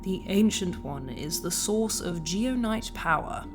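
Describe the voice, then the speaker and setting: A woman playing a grave, blunt alien healer in a science fiction audio drama, speaking in a solemn, deliberate manner. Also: fairly low pitch, animated.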